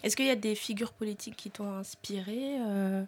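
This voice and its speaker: A woman's voice: medium pitch, steady tone, fairly quick. A woman hosting a podcast, introducing her guest and putting questions in a conversational interview style.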